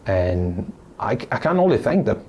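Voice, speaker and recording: Deep, mellow-voiced man from Italy. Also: big pitch swings, slight background noise. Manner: thoughtful, halting, many restarts and fillers. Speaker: podcast guest. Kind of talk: casual interview storytelling.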